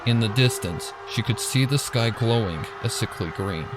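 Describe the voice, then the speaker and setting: A man's voice: on the low side, expressive, normal speed, heavy background noise. A man reading scripted fan fiction as a dramatic narrator. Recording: loud music and effects behind. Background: loud music.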